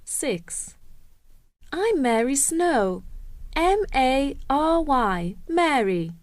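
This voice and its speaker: A woman reading scripted English listening exercises deliberately, spelling words out letter by letter. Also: sits high, big pitch swings, no room sound, full character voice. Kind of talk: scripted slow textbook dialogue.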